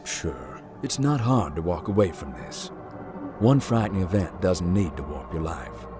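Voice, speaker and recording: A calm male ghost hunter speaks in a low, deep voice with lively, shifting intonation. He stays dry and matter-of-fact, never rattled. Soft game music plays under him. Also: unhurried. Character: calm young man who hunts ghosts. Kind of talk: visual novel voice acting.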